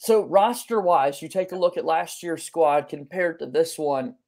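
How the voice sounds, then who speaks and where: Man, thirties, high voice, eager sports podcast host, home recording. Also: a little slow, gravelly, darkish tone. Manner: enthusiastic, eager. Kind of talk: upbeat sports podcast hosting. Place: small home room, indoors.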